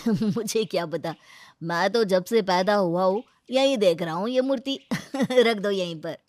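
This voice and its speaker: Woman doing easygoing young husband, mid pitch, mild n apologetic, casual family story drama, dry sound. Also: unhurried, darkish tone, no echo, lightly acted. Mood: relaxed.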